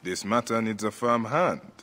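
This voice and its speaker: An actor playing a calm, steady male warrior protagonist in a video game who speaks firmly. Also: on the low side. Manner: calm, firm, measured.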